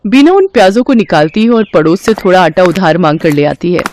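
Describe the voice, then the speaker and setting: A woman's voice: on the low side, expressive, fairly quick, darkish tone, a little hiss or hum. A woman reading a scripted moral story as its narrator.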